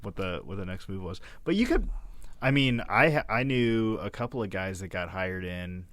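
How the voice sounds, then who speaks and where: A man with a low voice chats easily on a podcast, encouraging and swearing now and then. The close mic keeps the sound dry. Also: lively intonation, normal speed, a bit bright, no room sound. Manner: easygoing, encouraging, swears casually. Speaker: podcast co-host. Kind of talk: casual chat.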